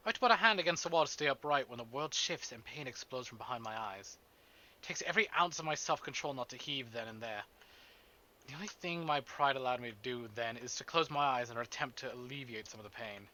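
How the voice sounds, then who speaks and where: A man streaming a visual novel, moving between casual chat and expressive, theatrical story reading. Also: medium pitch, brisk. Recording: dull band-limited stream, music behind.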